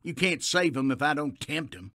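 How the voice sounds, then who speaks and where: An actor playing a brash, mocking Western saloon owner in a video game, taunting and sardonic. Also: male voice, fairly high pitch, animated, moderate pace, bright, forceful tone, dry recording, studio quality. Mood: mocking, defiant. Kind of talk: dramatic video game acting.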